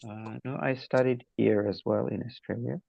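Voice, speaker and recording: A man from Nepal talking on a video call, his voice low, gravelly and husky, in a flat tone. He speaks hesitantly with fillers. The audio is dry and muffled. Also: normal speed, dry recording. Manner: hesitant, fillers, soft laughs. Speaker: student. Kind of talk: casual chat with teacher.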